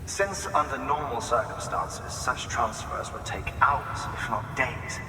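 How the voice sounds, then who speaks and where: A man's voice: mid-range voice, measured pace, reverberant, long reverb tail, far from the mic, noisy background, natural voice. A man playing a composed, cerebral official in a video game, speaking formally and posing rhetorical questions. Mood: measured, formal. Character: composed, cerebral male scientist or official lecturing a courier. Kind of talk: scripted video game cutscene dialogue.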